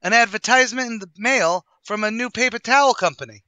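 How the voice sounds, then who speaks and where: A man's voice: extremely high pitch, fairly quick, bright, forceful tone, no room sound. An actor playing a brash, boastful male tavern manager, in a wisecracking 1940s radio comedy style. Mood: breezy.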